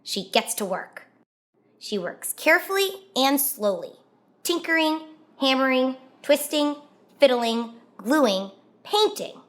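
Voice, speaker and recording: Lively, bright-voiced woman reading a storybook. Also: sits high. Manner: animated, drawn-out emphasis on key words. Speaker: children's read-aloud host. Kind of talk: expressive picture-book storytelling.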